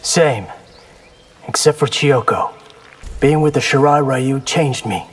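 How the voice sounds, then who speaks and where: An actor voicing a confident young warrior in a fighting video game, cocky, wry and determined. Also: male voice, medium pitch, slow, big-room sound, slight background noise, natural voice. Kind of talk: video game character acting.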